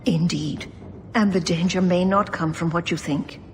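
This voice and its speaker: An actress playing a solemn, wise elven elder in a fantasy game, measured and authoritative. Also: female voice, on the low side, fairly even intonation, darkish tone, lightly acted. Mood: grave. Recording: long reverb, faint ambient noise.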